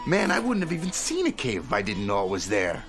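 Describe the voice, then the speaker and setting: A cocky, greedy crook teases and crows in folksy radio drama style. His mid-pitched voice leaps around wildly, with music clearly underneath. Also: huge pitch swings, fairly quick, fairly bright tone. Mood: gleeful. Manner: folksy, teasing, exuberant. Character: cocky, greedy, gleeful male gold robber.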